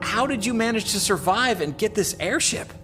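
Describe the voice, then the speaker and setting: An anxious rescued cartoon crewmate, acted by a man, talks in a high male voice with lively swings. He goes slowly, grateful and unsure, over faint music. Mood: shaken relief. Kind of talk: animated cartoon dialogue.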